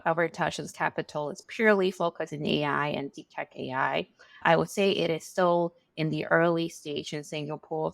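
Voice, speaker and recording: A woman's voice: low voice, creaky, dry recording. A middle-aged woman from Hong Kong who is a venture capital partner, answering interview questions in a chatty way with many "you know"s.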